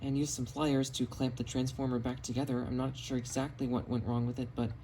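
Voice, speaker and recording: Relaxed matter of fact guy doing show and tell on his phone in a basement, bit of noise behind. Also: mid-range voice, brisk, rough recording. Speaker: radio collector presenting finds. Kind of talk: casual show-and-tell vlog. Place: home basement. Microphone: cell phone. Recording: audible background noise, phone recording.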